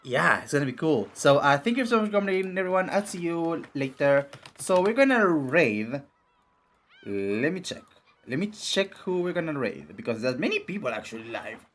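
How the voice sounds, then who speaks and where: A young man with a raspy voice streaming casually, rambling with many fillers and restarts. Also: on the high side, very animated, moderate pace, fairly bright tone. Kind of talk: casual live-stream chat and reading game text.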